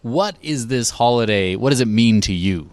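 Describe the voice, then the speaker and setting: A man reporting for the radio, reading narration and asking interview questions in a calm, steady way. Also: mid-range voice, normal speed.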